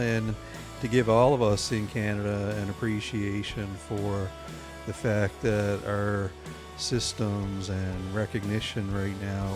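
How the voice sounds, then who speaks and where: A man hosting a podcast and introducing his guest, speaking hesitantly with many filler pauses. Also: lowish voice. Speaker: podcast host and course instructor.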